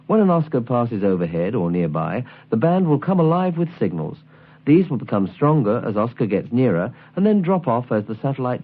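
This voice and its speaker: A man presenting a scripted instructional guide, explaining things in a clear, didactic way. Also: mid-range voice, phone-quality sound. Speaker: presenter of a shortwave listening guide.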